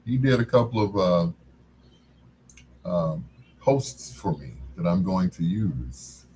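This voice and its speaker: An older man with a low voice hosts a casual music livestream. He talks very slowly and in a laid-back, folksy way, with faint noise in the background. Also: sing-song, a little mellow. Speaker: livestream music show host.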